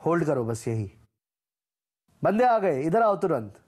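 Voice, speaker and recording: A man's voice: fairly high pitch, big pitch swings, a little slow, a little mellow, slightly rough. A man delivering short scripted gaming callouts and catchphrases in a theatrical, punchy way. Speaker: gaming creator voicing a game voice pack.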